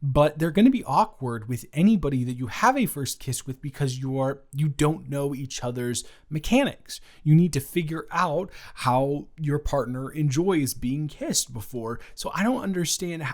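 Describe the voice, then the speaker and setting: A man playing a teasing, supportive male roommate in an improvised romantic audio roleplay, talking casually and stammering, with animated outbursts. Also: fairly high pitch, a little slow. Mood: indignant, reassuring. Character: male roommate and friend, teasing, supportive, indignant on her behalf.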